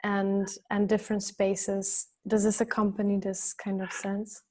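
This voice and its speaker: A woman's voice: mid-range voice, monotone, unhurried, warm tone. A woman with an ordinary mid-range voice asks questions in an interview. She searches for her words and rephrases as she goes.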